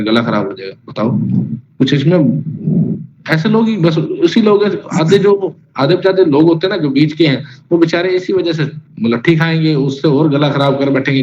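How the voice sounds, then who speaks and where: A man's voice: fairly high pitch, darkish tone. A man teaching an online singing class in a casual, rambling way, talking straight to his students and singing note names. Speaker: music teacher. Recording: lo-fi online class audio with faint noise.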